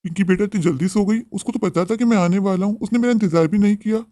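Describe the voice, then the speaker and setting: A man's voice: high-pitched, fast talker, mellow, dry recording, natural voice. A man voicing an anxious, devoted father in a cartoon melodrama, with a worried, questioning manner. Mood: concerned. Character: anxious, devoted father searching for his daughter. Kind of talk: melodramatic Hindi cartoon moral story.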